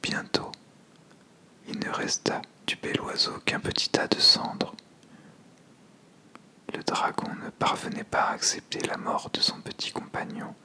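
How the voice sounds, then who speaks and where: The voice in your headphones at bedtime, slowly whispering an old fable, soft and deliberate. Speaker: storyteller. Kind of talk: ASMR whispered scripted narration.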